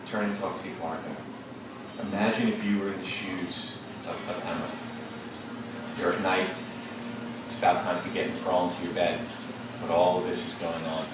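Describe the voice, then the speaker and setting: A male teacher reads a story aloud to a class, slowly and deliberately, and stops to think aloud and ask questions. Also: sits low, level tone. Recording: echoey classroom from far off, background noise.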